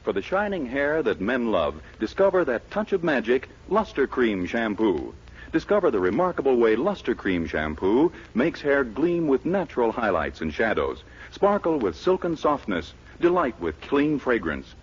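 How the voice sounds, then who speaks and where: Animated 1940s radio announcer man, muffled sound. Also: mid-range voice, normal speed, slightly rough. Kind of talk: 1940s radio announcing, scripted ad copy and show introductions.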